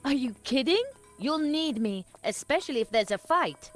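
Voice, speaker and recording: Feisty young Eva. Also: female voice, high voice, lively intonation, normal speed, faint music behind. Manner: spirited, feisty. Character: bold young woman ally Eva. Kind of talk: video game voice acting.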